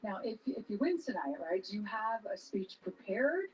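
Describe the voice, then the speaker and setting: A woman's voice: medium pitch, big pitch swings, normal speed. A woman hosting a live red carpet interview in an effusive, affirming manner. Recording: dull lo-fi broadcast audio.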